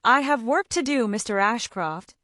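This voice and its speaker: A woman's voice: fairly high pitch, normal speed, no echo. Young woman archivist, wounded and emotionless on the surface, voiced by the narrator; dry studio sound. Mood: hurt. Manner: flat, emotionless.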